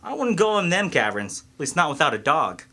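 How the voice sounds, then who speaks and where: A folksy country constable, played by a man, excitable and plain-spoken, in a radio mystery. The voice is bright and forceful and swings widely up and down. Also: fairly high pitch, fairly quick, exaggerated voice. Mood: folksy, wary. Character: folksy male small-town constable and ambulance driver, excitable. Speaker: amateur voice actor in an audio mystery. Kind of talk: scripted radio mystery acting.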